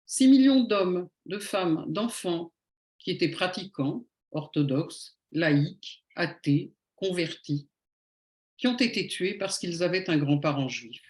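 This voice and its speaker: A woman giving a prepared public lecture in a didactic, rhetorical manner, with emphatic phrasing and deliberate pauses. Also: fairly low pitch, animated, a little mellow. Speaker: lecturer, conference speaker. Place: indoor room at a public talk. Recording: echoey room.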